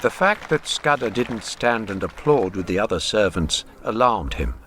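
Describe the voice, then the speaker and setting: A man's voice: fairly low pitch, expressive, moderate pace, fairly bright tone, slight background noise. A man acting as the grave narrator of a literary radio drama. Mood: brooding, measured. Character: grave literary narrator telling a troubled man's story.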